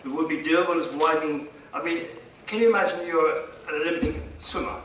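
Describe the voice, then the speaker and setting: Guy doing a formal speech, slightly high forceful voice, really emphatic, lots of rhetorical pauses. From India. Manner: emphatic, rhetorical, pausing for effect. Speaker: guest speaker at a conference. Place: conference hall, addressing a seated audience. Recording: echoey hall, distant mic, faint noise.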